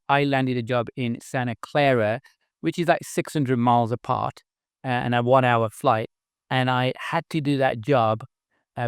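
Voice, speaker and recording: A man's voice: mid-range voice, clear, resonant tone, dry recording, studio quality. A middle-aged man from England with a Birmingham accent, chatting casually as a podcast guest, tagging his sentences with "right?". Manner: chatty, frequent "right?" tags and light laughs. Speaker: startup founder, guest.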